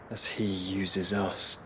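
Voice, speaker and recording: Solemn young nobleman, low-voiced. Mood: resolute. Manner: solemn, formal, deliberate. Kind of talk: dramatic video game cutscene acting. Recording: loud music behind the voice.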